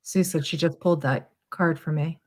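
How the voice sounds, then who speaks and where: A woman's voice: low voice, steady tone, moderate pace, darkish tone, a little hiss or hum. A woman chatting casually as a guest tarot reader, hesitant and thinking aloud. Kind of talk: casual chat.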